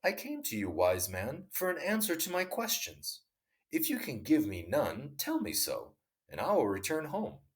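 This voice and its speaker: A man's voice: a little high, wildly swooping pitch, moderate pace, pitched above the performer's own voice. A man reading aloud, voicing an earnest king who pleads for answers to his questions. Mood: pressing.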